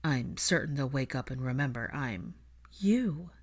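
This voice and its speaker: A woman's voice: very deep voice, expressive, measured pace, voice pushed down, exaggerated voice. A woman voicing a weary, guarded man in an audiobook, curt and subdued, with dry sarcasm. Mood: guarded, resistant.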